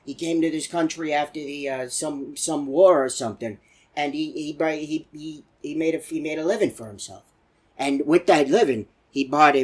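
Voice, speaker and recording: A man voicing a chatty, excitable elderly animatronic in a video game, telling folksy, rambling stories in a husky old voice. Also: fairly high pitch. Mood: rambling reminiscing. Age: older. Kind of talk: rambling game character monologue.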